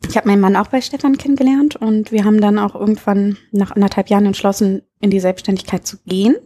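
A woman's voice: medium pitch, steady tone, fast, dark tone. A woman in her thirties from Berlin, chatting casually and openly as the guest in an interview. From Berlin, Germany. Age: 30s. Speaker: interview guest, bar owner.

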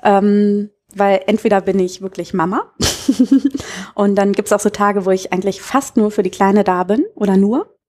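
A woman's voice: mid-range voice, fairly even intonation, moderate pace, a little mellow. A woman in her thirties from Berlin, chatting casually and openly as the guest in an interview. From Berlin, Germany. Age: 30s. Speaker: interview guest, bar owner.

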